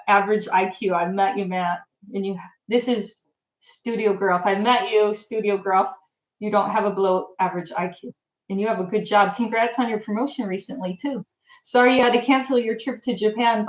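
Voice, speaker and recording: Chatty woman livestreaming in an echoey room. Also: mid-range voice. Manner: casual, chatty, lots of fillers. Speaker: channel host. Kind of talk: livestream chat answering viewer comments.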